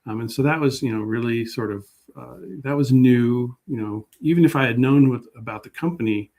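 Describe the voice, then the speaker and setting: A man with a medium-pitched voice talks as a guest historian. His delivery is casual and modest, and he often halts while thinking aloud. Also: fairly quick, darkish tone. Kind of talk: casual interview chat.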